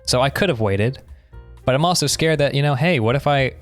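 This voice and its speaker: A man's voice: mid-range voice, a little fast, faint music behind. A young man co-hosting a podcast, chatting casually and giving opinions in an explanatory way. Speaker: podcast co-host. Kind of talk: casual conversational discussion.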